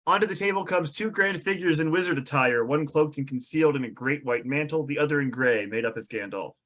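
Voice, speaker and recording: A man's voice: a little high, a little fast, fairly bright tone. A man reading stage directions as narrator at a table read, descriptive and drily amused.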